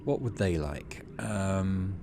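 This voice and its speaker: A man's voice: sits low, lively intonation, slow pace, background noise. A man giving casual gaming commentary, reading game text aloud and muttering as he thinks. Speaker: let's play host.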